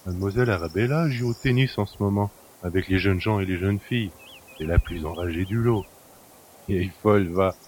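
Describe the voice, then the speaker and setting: A man's voice: low voice, brisk, background noise, close to an everyday voice. A man playing a cheeky, familiar manservant in an audio drama, chatty and mocking. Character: cheeky, familiar manservant who helps himself to master's luxuries. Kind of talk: French audio drama acting.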